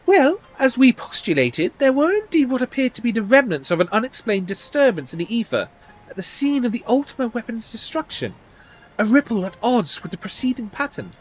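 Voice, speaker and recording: A man's voice: very high-pitched, big pitch swings, fairly quick, voice pushed up, exaggerated voice, rough recording. A man voicing an earnest scholarly researcher from a fantasy game, formal and precise as theories are laid out. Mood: earnest, explanatory.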